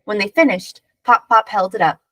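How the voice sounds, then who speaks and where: A woman reading a children's picture book aloud in measured, expressive narration, drawing out key words. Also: mid-range voice, no echo.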